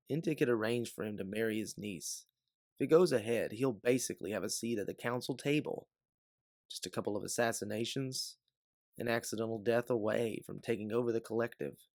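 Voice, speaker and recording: A man's voice: medium pitch, animated, moderate pace, no echo, studio quality, natural voice. A man voicing a guilt-ridden, hardened former bounty hunter in a sci-fi story, blunt and emphatic. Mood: grim.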